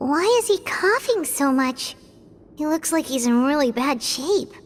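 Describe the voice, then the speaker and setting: A small childlike companion creature for a fantasy game. The voice is extremely high and squeaky, swooping about, with long reverb around it. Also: female voice, animated, unhurried, a little hiss or hum. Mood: worried. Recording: reverberant, with music behind.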